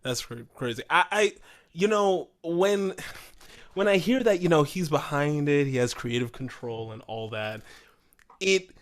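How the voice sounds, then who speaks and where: A man co-hosting a podcast, discussing things casually in an animated way and acting out imagined quotes. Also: on the high side, a little slow. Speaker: podcast co-host.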